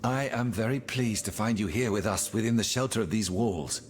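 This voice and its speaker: An actor playing a learned, courtly older gentleman astronomer in a video game, genteel and thoughtful. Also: on the low side, moderate pace, a little hiss or hum, close to an everyday voice. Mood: genteel, pondering. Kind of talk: video game dialogue acting.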